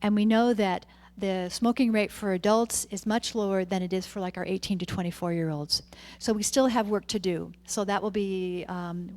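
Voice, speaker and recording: A woman's voice: mid-range voice, a little mellow. A woman, a public health official presenting plans to a city committee in an informal way, walking through her slides.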